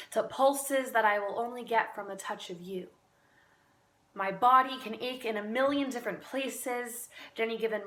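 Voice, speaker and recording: A woman amateur poet recites spoken-word slam poetry in an earnest, deliberate manner with dramatic pauses. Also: on the high side, a bit bright.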